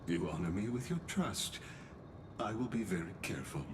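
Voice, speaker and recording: A man voicing a courteous, enigmatic scholar in a video game, speaking in a formal, deferential and measured manner. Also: on the low side, very animated, noisy background. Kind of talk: scripted video game voice acting.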